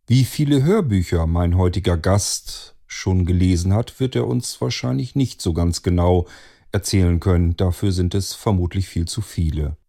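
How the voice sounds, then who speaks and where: A man hosting a radio interview show, speaking in measured, carefully phrased introductions and questions. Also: sits low, gravelly, mellow.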